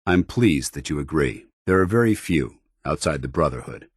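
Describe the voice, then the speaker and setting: Stern military paladin for a video game, real deep voice, formal n commanding, earnest, dry studio sound. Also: male voice, animated, moderate pace, husky, no room sound, exaggerated voice. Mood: firm. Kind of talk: video game companion dialogue.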